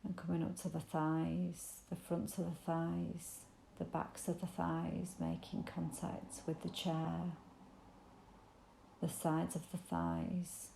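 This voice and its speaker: A woman leading a guided meditation in a gentle, soothing, invitational manner with unhurried pauses. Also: on the low side, level tone, vocal fry, roomy sound, a little hiss or hum, rough recording. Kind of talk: guided body scan meditation.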